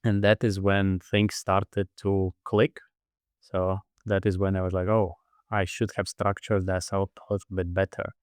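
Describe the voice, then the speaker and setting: A man from Latvia speaking reflectively as an interview guest, halting now and then as he searches for words. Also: low voice, level tone, clear, resonant tone, no echo, studio quality. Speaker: podcast guest, endurance athlete and heart rate expert.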